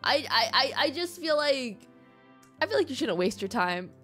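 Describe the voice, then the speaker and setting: A woman's voice: high voice, big pitch swings, normal speed, fairly bright tone. A young woman doing casual live gaming commentary, playful, chatty and emotive, often repeating her words. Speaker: game streamer. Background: game music.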